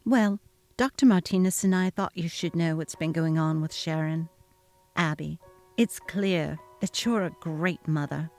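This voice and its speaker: A woman reading the part of a calm, kind nurse who speaks softly and reassuringly. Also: lowish voice. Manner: soft, quiet, reassuring.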